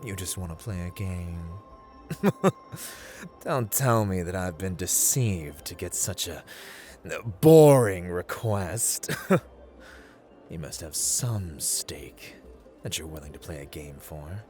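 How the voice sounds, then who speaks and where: A playful, haughty god of fortune, acted by a man in a theatrical ASMR roleplay. He speaks low and slowly, teasing the listener and swinging from hushed to booming. Music plays behind his voice. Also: expressive. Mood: bored, disdainful. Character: playful, haughty male god of luck in a gambling den.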